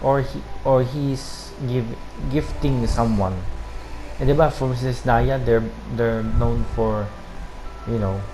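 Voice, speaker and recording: A woman with a Filipino accent, a native Tagalog speaker, giving casual, halting game commentary as a streamer. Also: extremely low pitch, fairly even intonation, noisy background.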